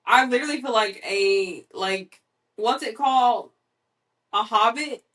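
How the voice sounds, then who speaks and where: A woman in her twenties co-hosting a casual podcast, talking bluntly and candidly in a fairly even tone. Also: a little high, unhurried, distant mic, poor audio. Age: 20s. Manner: blunt, candid, says she sounds monotone. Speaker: podcast co-host. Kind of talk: casual chat.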